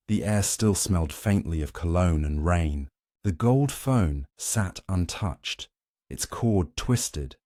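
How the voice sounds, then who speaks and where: Male narrator, low and dark-toned, for solemn, suspenseful scripted storytelling delivered in short dramatic phrases. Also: no room sound, clean studio sound.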